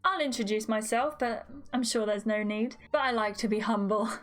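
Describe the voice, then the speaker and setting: A woman's voice: fairly high pitch, normal speed, soft background music, lightly acted. A woman voicing a smug, charming male polo player in a murder mystery game, pompous and suave.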